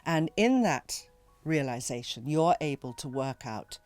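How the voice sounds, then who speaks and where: A woman speaking as an author in an interview, reflective and deliberate. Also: low voice, animated, a little mellow, no room sound, faint music behind.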